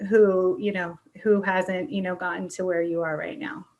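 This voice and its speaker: A woman's voice: fairly low pitch, little pitch movement, normal speed, darkish tone, lo-fi sound. Casual, conversational woman podcast host. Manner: informal, often checks in with "right?" and "you know". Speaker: podcast host and business coach.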